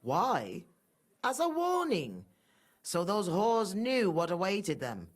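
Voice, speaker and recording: A middle-aged religious fanatic in a medieval video game. She is haughty and sanctimonious, sneering with defiance. Her pitch swings widely as she condemns without remorse. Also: huge pitch swings, slow, full character voice. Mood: cold contempt. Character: pious, murderous mother, haughty, fanatical and unrepentant. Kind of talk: dramatic video game acting.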